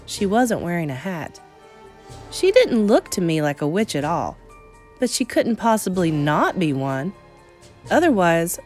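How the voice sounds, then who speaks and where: A woman narrates a children's novel in a mid-pitched, highly animated voice. She leans into key words and leaves deliberate pauses for suspense. Soft music plays behind her. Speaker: storybook reader. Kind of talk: dramatic read-aloud narration of a children's novel.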